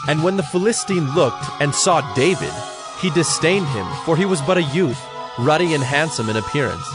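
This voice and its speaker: A man giving measured, solemn scripted narration for a dramatized Bible. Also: fairly high pitch, expressive, music in the background.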